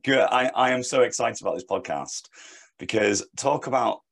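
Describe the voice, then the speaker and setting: A man hosting a leadership podcast, hoarse from a sore throat, with a husky voice and an upbeat, enthusiastic way of talking. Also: mid-range voice, moderate pace. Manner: upbeat, enthusiastic, some restarts. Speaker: podcast host. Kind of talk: casual interview chat.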